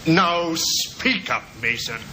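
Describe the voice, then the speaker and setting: A no-nonsense sergeant, pressing and impatient, with a bright, fairly high voice. The scene is a 1950s radio police drama on an old, slightly noisy recording. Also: male voice, expressive, slow, exaggerated voice.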